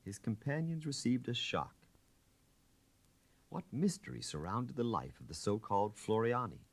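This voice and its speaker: A man narrating an audiobook in a dramatic literary style, with theatrical, measured phrasing. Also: medium pitch, sing-song, no echo.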